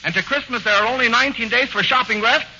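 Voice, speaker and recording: Deadpan, high-voiced man on old radio. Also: fast talker. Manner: deadpan, non sequitur delivery. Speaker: bandleader. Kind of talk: 1940s radio comedy banter. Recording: dull, very noisy 1940s radio broadcast.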